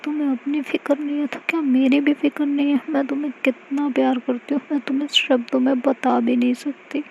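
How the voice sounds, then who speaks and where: A young woman, crying and scolding, as a woman storyteller voices her. Her voice rides high and soft, muffled by a slightly dull lo-fi recording. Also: fairly even intonation, normal speed, creaky, a little hiss or hum. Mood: tearful scolding.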